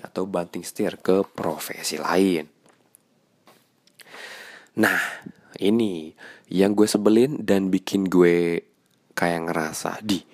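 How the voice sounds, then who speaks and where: A young man with a stuffy nose, hosting a casual, slangy monologue podcast in a confiding tone. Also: low voice. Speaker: radio announcer and podcast host.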